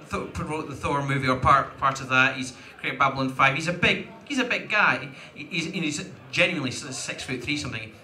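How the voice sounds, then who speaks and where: A man's voice: fairly high pitch, bright, forceful tone. A man with a Scottish accent, a panel host chatting to an audience in a wry, digressive, emphatic way. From Scotland. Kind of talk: casual chat and anecdotes to an audience. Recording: echoey convention hall PA.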